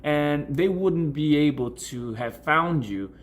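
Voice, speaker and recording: A man's voice: on the high side, animated, slow, a little hiss or hum. A man whose native language is Portuguese, speaking as a coach and video host in an informal explanatory style, repeating and rephrasing words for emphasis. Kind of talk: informal explanatory talk to camera answering questions.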